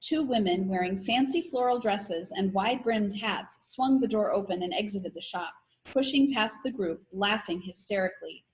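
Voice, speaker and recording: A woman's voice: medium pitch, normal speed, hardly any pauses, rough recording. A woman reads a children's story aloud in a mid-range voice. She narrates scripted prose as a storyteller for young listeners.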